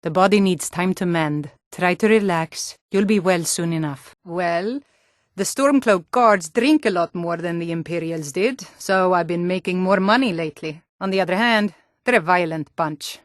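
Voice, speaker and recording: A woman voicing a sturdy, plain-spoken Nord townswoman in a fantasy game, speaking scripted lines in a measured way. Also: fairly low pitch, no echo, studio quality, close to an everyday voice. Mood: solemn, caring, then reflective.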